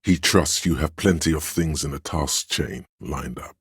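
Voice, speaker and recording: A domineering inner voice from a video game, with an extremely low, resonant pitch. He speaks in a grave, measured, pompous way, as if issuing orders. The sound is dry and close. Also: husky, no echo, exaggerated voice. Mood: grave, narrating. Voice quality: very deep, resonant. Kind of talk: scripted game narration, inner-voice commentary.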